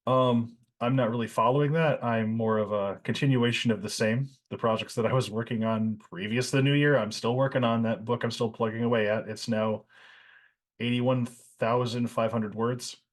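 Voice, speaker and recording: A man's voice: mid-range voice, fairly even intonation, moderate pace. A man hosting a podcast, chatting casually with his co-hosts in an easygoing way. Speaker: podcast host.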